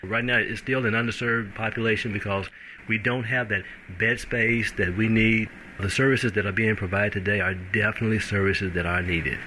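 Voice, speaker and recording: A man from a rescue mission speaking in a measured way in a news interview clip. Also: fairly low pitch, fairly even intonation, bright, forceful tone, slight background noise, rough recording.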